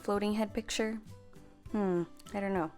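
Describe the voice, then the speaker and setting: A woman's voice: medium pitch, fairly even intonation, normal speed, darkish tone, faint music behind. Chatty young woman narrating a craft tutorial. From half Filipino, grew up speaking Tagalog. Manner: chatty, self-correcting, friendly explaining. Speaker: planner stamping vlogger.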